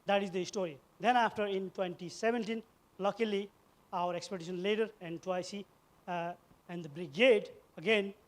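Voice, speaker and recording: Middle-aged male speaker, Nepali accent, high voice, emphatic halting public storytelling. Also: steady tone. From eastern Nepal. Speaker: event speaker, former soldier. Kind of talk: personal story told as a public speech.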